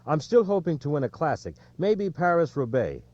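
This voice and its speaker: Weary male champion cyclist, voiced by a male audiobook reader: self-deprecating, plainspoken, relaxed dark tone, slightly lo-fi. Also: on the high side, normal speed, no room sound, voice pushed up. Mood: earnest. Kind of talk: quoted speech in audiobook narration. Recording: dull, lo-fi audio.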